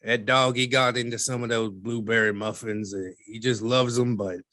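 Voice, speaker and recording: A man playing a skeptical, folksy newspaperman as a podcast guest, speaking in a measured, wry and slightly condescending way. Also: mid-range voice, steady tone, sharp tone, no room sound, close to an everyday voice. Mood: affable. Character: skeptical, folksy, wryly dismissive male newspaperman. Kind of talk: scripted podcast interview drama.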